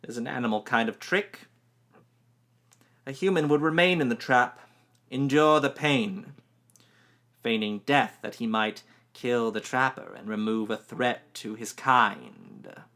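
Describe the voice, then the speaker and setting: A stern, ancient woman, as a male narrator puts her on, speaks with slow, commanding menace in an audiobook reading. Her words come drawn out, the tone bright and tense. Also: mid-range voice.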